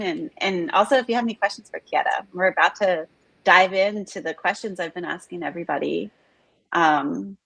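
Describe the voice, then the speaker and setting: A woman hosting a live online interview in a chatty way, full of "like" and "um", her voice a little raspy from talking all morning. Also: mid-range voice, fairly bright tone, no room sound. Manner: chatty, filler-heavy, says "like" often. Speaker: host of live interview series. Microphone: video call.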